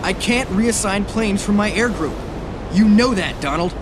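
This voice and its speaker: A man's voice: sits high, measured pace, background noise. An American man playing an earnest US Navy carrier captain in a wartime game drama. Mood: tense, firm. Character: earnest US Navy carrier captain, war veteran recounting battles. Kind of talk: dramatic scripted game narration and dialogue.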